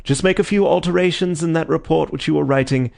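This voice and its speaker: A man reading an audiobook, voicing a brisk, theatrical male detective who speaks crisply and courteously. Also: a little high, darkish tone, voice pushed up. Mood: assured. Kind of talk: audiobook character voice.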